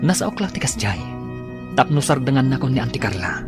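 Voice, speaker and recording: Casting: male actor for well-off suitor, medium-pitched, earnest and insistent, radio drama over clear music bed. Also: sing-song, a little fast, lightly acted. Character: Frank, earnest well-off man courting a housemaid. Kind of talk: Ilocano radio drama acting.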